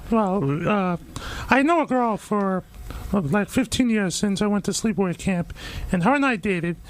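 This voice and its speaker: A man with a very high, thin voice, a radio guest giving short, hesitant answers with stumbles. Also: lively intonation, moderate pace. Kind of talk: nervous interview answers. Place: radio studio.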